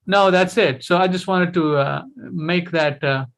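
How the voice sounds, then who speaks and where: A man's voice: high-pitched, measured pace, fairly bright tone. A man hosting a casual video-call discussion, chatty and full of questions and fillers. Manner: chatty, asks lots of questions, many fillers. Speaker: host.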